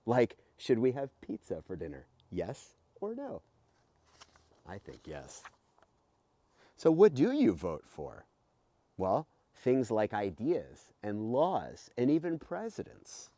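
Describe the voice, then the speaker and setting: Very animated man reading to children. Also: on the low side, takes it slowly, no echo. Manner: expressive, emphatic, with rhetorical questions. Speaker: teacher reading a children's book aloud. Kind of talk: animated read-aloud for children with explanatory asides. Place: outdoors beside a house.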